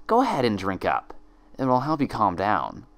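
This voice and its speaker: A man's voice: on the low side, wildly swooping pitch, moderate pace, a bit bright, heavily acted. A man voicing a sinister female pony twin from fan fiction, sibilant and mock-sympathetic as the character cruelly insinuates. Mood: cooing menace.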